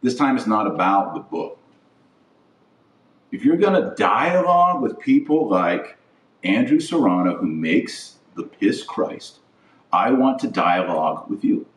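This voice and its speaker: A middle-aged man with a fairly low voice speaks in a roomy, echoing space, a little away from the mic. He delivers opinion commentary as a podcast host. His phrasing is short and emphatic, repeating words to drive a point home. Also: sing-song, measured pace. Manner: emphatic, short declarative phrases with repetition for stress. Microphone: webcam setup. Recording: roomy echo, distant microphone.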